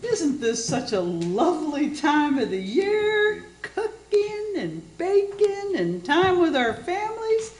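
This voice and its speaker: A woman's voice: very high voice, sing-song, slow, few pauses, a little mellow, exaggerated voice. A woman playing a gushing, sugary celebrity chef auntie with a spiteful streak in a comedic radio play. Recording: echoey community theater stage recording.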